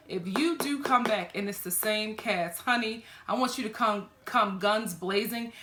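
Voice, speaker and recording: A young woman with a fairly deep voice giving an animated, sassy reaction review, talking straight at the people she watches. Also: echoey room. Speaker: reality TV reviewer. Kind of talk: casual reaction commentary.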